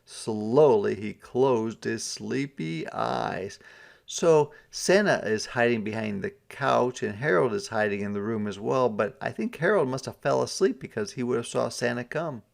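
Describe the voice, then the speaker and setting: Guy teacher doing a kids read aloud, slow, gravelly voice, stops to explain stuff. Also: medium pitch, animated. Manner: pauses to ask questions and explain words simply. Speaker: teacher reading aloud to children. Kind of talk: interactive storybook read-aloud.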